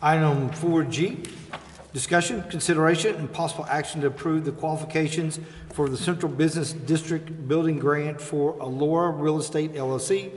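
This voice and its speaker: A man chairing a city council meeting, brisk and procedural as he moves through items. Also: on the high side. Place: council chambers. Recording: echoey chamber, faint hum.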